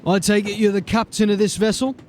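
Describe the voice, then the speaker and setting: A man's voice: high-pitched, level tone, brisk, darkish tone, a little hiss or hum, close to an everyday voice. A man playing a stern male city watch sergeant who questions people in a formal, suspicious way. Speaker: police sergeant.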